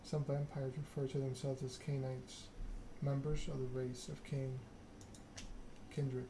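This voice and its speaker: A soft-spoken male game streamer talking in an ASMR style, measured and a little halting. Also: medium pitch, little pitch movement, noisy background.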